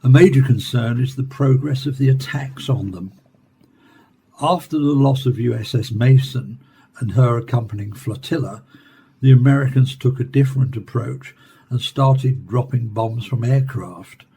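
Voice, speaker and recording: An actor playing a measured scientist, explaining an alien invasion formally in a radio drama. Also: male voice, mid-range voice, mellow, a little hiss or hum, close to an everyday voice. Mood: grave, measured. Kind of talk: radio drama acting as a studio interview guest.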